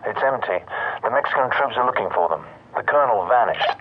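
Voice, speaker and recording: An actor playing a businesslike team leader at base in an audio drama, clipped and matter-of-fact through a radio filter. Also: male voice, medium pitch, expressive, quick pace. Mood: businesslike, urgent. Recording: tinny noisy radio link.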